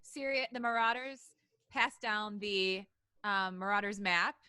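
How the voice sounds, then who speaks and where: A woman's voice: medium pitch, measured pace, bright, forceful tone, smooth. A woman co-hosting a podcast with casual, joking banter. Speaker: podcast co-host.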